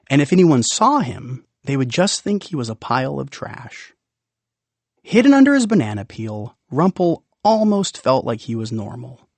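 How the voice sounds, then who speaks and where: A man narrating a children's audiobook in an expressive, playful way with dramatic pauses. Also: a little high, no room sound. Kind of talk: expressive scripted storybook narration.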